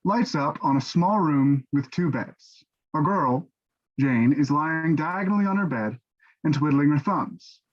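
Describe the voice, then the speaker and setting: A man reading scripted stage directions as narrator, in a measured, matter-of-fact way. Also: on the high side, lively intonation. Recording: dull, lo-fi audio.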